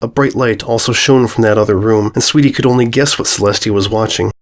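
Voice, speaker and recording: A man's voice: fairly low pitch, fast talker. A man giving a scripted narration of a horror fan fiction story.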